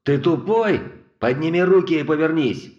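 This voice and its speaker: An actor playing a blunt, mocking American cop of about forty in an audiobook. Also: male voice, fairly high pitch, animated, moderate pace, darkish tone, no echo, lo-fi sound. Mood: hostile. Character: gruff bald American cop around forty. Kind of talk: audiobook character voice.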